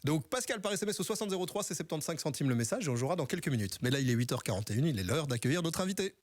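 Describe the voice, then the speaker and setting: Guy hosting morning radio, talks super quick, slightly high voice. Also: sing-song. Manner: upbeat, brisk announcer delivery. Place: radio studio.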